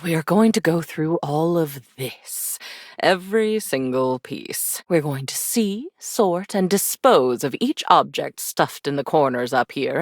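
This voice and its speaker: A woman's voice: low voice, no echo, clean studio sound, full character voice. A woman voicing a sardonic, theatrical video game character, a sinister living embodiment of attic memories, with a languid, arch, mock-sweet delivery. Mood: resolute, dry.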